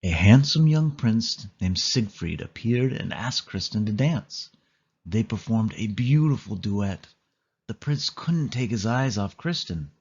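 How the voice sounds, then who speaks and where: A man with a low, raspy voice narrates a children's storybook in a scripted read-along style. The recording is slightly dull and lo-fi. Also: expressive, measured pace, darkish tone.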